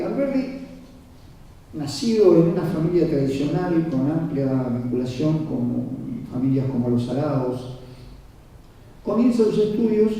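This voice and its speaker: A man's voice: a little high, dark tone. A man giving a formal history lecture, didactic, reading from notes with asides and checking in with his listeners. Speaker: lecturer at a conference. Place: large reverberant conference room. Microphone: distant microphone. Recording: very echoey hall, muffled, far from mic.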